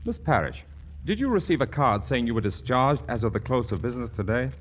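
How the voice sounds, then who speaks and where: A man playing a brisk, self-important boss in a 1940s radio comedy, pompous and businesslike. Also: mid-range voice, very animated, a little hiss or hum, natural voice. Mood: brisk, magnanimous.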